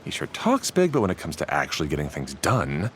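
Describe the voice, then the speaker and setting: A man's voice: very deep voice, wildly swooping pitch, fairly quick, slight background noise, exaggerated voice. A man voicing an earnest young railway repairer in a video game, explaining things with a grumbling edge. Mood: exasperated. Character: young male railway repairer, earnest, aggrieved by his brother.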